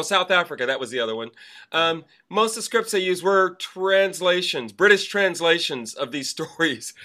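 A man with a high, bright voice hosting a conversational podcast. He tells a chatty anecdote with a wry edge before steering it into a question. His tone stays clear and forward. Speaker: podcast host. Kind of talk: casual conversational interview.

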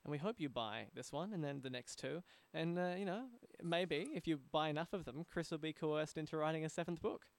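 A man's voice: on the high side, fairly quick, creaky, hardly any pauses, clean tone, dry recording. An Australian man hosting a podcast in a conversational interview style. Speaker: podcast host.

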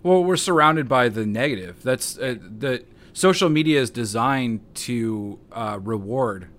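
A man speaks at an ordinary, mid-range pitch, calm and unhurried. He hesitates often and fills his pauses with uh and you know. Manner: calm, hesitant, frequent fillers. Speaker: guest, online personality. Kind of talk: casual conversation.

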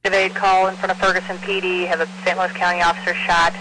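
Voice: medium pitch, monotone, fast. A speaker exchanging terse emergency dispatch traffic over a two-way radio. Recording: noisy crackling emergency radio transmission.

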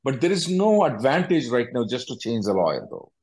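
A man's voice: mid-range voice, sing-song, fairly quick, darkish tone. A man working as an attorney and host, answering callers bluntly, often repeating himself and cutting in. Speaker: immigration attorney hosting a call-in. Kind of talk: question-and-answer advice call.

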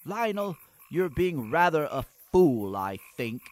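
A man playing a morose young boy poet in a comedic radio play, speaking in prim, wordy, deadpan phrases. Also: a little high, slow pace. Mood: dry, skeptical.

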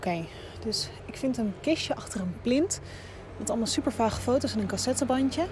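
An actress playing a curious, persistent young woman reporter in a naturalistic audio drama, asking questions in a halting way. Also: fairly high pitch, lively intonation, background noise, lightly acted. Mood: determined.

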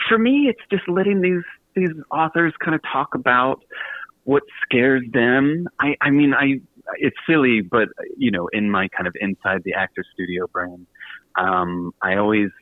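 Deep-voiced man on thin, phone-like radio. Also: no echo. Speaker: guest, author and podcast host. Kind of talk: casual, animated radio interview chat.

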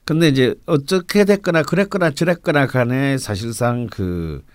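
A man's voice: mid-range voice, normal speed, dry recording. A man explaining a fortune reading in a conversational radio talk, with an explanatory, emphatic manner. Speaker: fortune-telling (myeongri) commentator.

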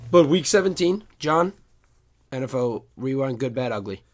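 A man with a hoarse voice chats casually about sports on a podcast. He jokes and rambles, and his lines often fade into a quiet mutter. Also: medium pitch, expressive, normal speed. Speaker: podcast co-host.

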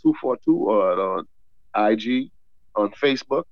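A man from the Bahamas, a guest author, chatting casually in an interview, often repeating words as he tells animated stories. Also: fairly low pitch, measured pace, no room sound, dull audio.

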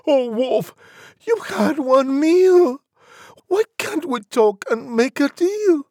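A small, excitable little pig from a storybook. The voice leaps up very high and swings about, squealing with fright and pluck. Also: male voice, takes it slowly, no room sound, clean studio sound, heavily acted. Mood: pleading. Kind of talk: animated storybook character acting.

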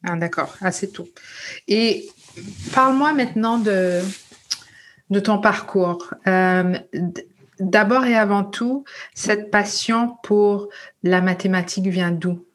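A woman leads the interview as guest host, voice at an ordinary middle pitch. She asks her questions conversationally and often restarts a phrase before finishing it. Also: slow.

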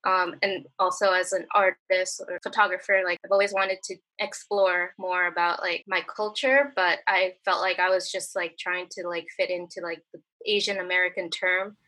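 A woman who is an artist and photographer, talking casually and haltingly with many "like"s and "um"s. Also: fairly low pitch, fairly even intonation, sharp tone, poor audio. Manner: hesitant, full of "like" and "um". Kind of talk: casual chat.

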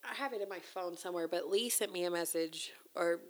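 A woman's voice: fairly low pitch, fairly quick. A woman guest talking casually and candidly, telling a personal story in conversation. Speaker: interview guest.

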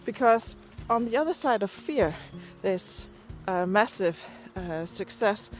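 A woman's voice: medium pitch, animated, slow pace, soft background music. A native Danish-speaking woman with a Danish accent, chatting casually in a vlog and hesitating as she searches for words. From Denmark. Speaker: video and podcast creator. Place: outdoors, on a walk. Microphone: handheld device at arm's length.

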